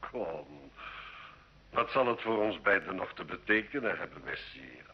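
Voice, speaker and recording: An actor playing a sly, learned medieval alchemist in an old radio drama, measured and courtly, with a sardonic edge. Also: male voice, sits low, sing-song, slightly rough, lo-fi sound, exaggerated voice. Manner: slow, measured, deferential yet sardonic.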